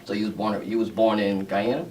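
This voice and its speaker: A man's voice: lowish voice, little pitch movement, a little fast, darkish tone. A man hosting a casual radio interview, upbeat with frequent affirmations and "you know what I'm saying". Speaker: radio DJ host. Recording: distant mic, faint room noise.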